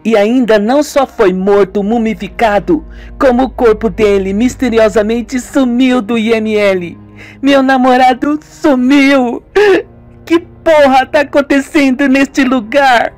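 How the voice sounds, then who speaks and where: A man voicing an angry, grieving young woman in a radio soap, high and tearful. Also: a little slow, darkish tone, faint music behind. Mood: aos prantos. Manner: tearful, furious outbursts.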